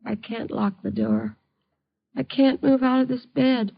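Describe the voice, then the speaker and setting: An actress playing a frightened, lonely bedridden mother in 1950s radio drama, breathy and fragile, pleading bitterly with agitated repetition. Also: fairly high pitch, huge pitch swings, a little slow, heavily acted. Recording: dull lo-fi old radio recording.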